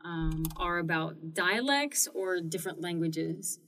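A woman's voice: on the low side, lively intonation, unhurried, a bit bright. A woman hosting a casual interview, asking curious follow-up questions. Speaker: host. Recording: distant mic in a room.

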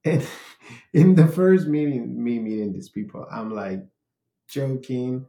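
Man, podcast host, casual storyteller, mid-pitched voice, echoey room. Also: slow pace, mellow. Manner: casual, storytelling, frequent restarts. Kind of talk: conversational podcast interview. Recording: roomy echo.

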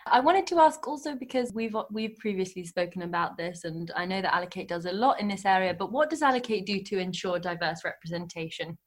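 A woman's voice: medium pitch, expressive, a little fast, hardly any pauses. A woman hosting a business podcast, putting questions to guests in a conversational, professional way. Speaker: podcast host, interviewer.

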